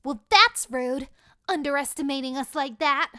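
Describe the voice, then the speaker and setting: An actress playing a haughty, fanatical cult cleric in a fantasy audio drama, theatrical in delivery. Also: female voice, high voice, moderate pace, sharp tone, no echo, clean studio sound.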